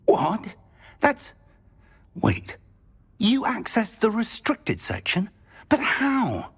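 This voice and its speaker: Husky, expressive wizard professor. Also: male voice, high-pitched, very slow, full character voice. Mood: astonished, intrigued. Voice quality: husky, breathy. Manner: expressive, musing aloud. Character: older wizard professor mentor, fussy, curious, encouraging. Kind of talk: video game character acting.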